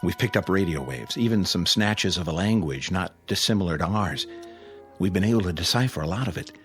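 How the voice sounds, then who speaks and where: An actor in a radio drama playing an anxious test pilot desperate to escape, tense and questioning. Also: male voice, low voice, animated, a little fast, a bit bright, faint music behind. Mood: anxious, grave.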